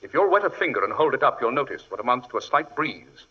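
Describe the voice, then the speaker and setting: Sardonic detective, British, crisp, lively intonation, long reverb, 1940s radio drama. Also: male voice, mid-range voice, fast. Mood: dry, composed. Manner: crisp, superior, dryly witty. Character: brilliant, imperious, coolly sardonic male detective. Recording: dull reverberant vintage radio recording.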